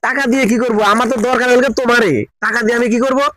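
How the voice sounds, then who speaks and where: A man acts out an anxious lover in an informal drama. He protests in a high, hurried voice with a Bangladeshi accent, defensive and pleading. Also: steady tone, a bit bright, dry recording. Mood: pleading, earnest. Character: anxious, defensive male lover waiting to elope.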